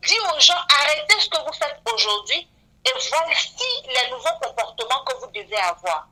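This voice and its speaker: Earnest woman, 40s, bright higher voice, radio guest who leans on fillers. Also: sing-song, normal speed, poor audio. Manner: earnest, frequent "voilà" fillers. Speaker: beautician guest.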